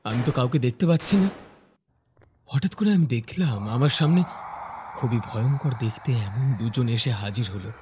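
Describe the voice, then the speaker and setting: A man's voice: mid-range voice, brisk, noisy background, natural voice. A man playing a young office worker who narrates his own scripted horror cartoon story. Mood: fearful. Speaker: first-person narrator.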